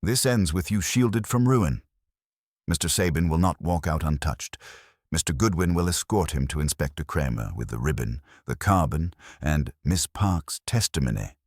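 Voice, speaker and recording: A man's voice: a bass voice, slightly rough, no room sound, studio quality, full character voice. A man voicing a heavyset, imperious genius detective in an audiobook, with formal, pompous, precise phrasing. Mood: cool, imperious.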